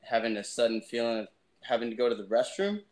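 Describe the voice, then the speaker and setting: A man in a band answering a remote interview question briefly and haltingly. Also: mid-range voice, big pitch swings, a little mellow. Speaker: band member.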